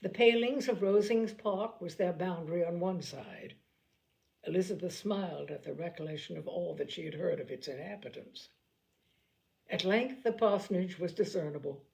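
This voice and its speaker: A woman reading a classic novel aloud in a measured storytelling manner, now and then correcting a slipped word. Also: lowish voice, gravelly, mellow, poor audio. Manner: measured storytelling, occasional slips and self-corrections. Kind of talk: audiobook narration.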